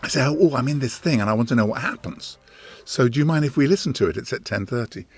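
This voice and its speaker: A man's voice: mid-range voice, fast talker, breathy edge, dry recording, faint music behind. A man telling an anecdote as an actor being interviewed.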